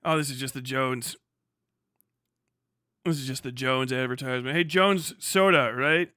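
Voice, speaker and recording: A man's voice: medium pitch, normal speed, pushed and bright, smooth, dry recording, studio quality. A man hosting a livestream with casual, rambling, sarcastic chat and plenty of swearing, sounding tired. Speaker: livestream host.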